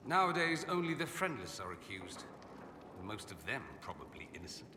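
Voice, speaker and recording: An actor playing a vain, sardonic young aristocratic mage in a video game, with a suave, theatrical and wry delivery. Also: male voice, lowish voice, big pitch swings, moderate pace, echoey room, long reverb tail, very noisy. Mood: wry, explaining. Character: witty, vain aristocratic male mage, sardonic rebel exile. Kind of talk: video game dialogue acting.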